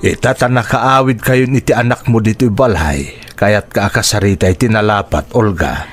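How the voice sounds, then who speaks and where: A man's voice: lowish voice, normal speed, soft background music, natural voice. An actor with an Ilocano Filipino accent playing a stern, burdened older father in a radio drama. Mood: admonishing.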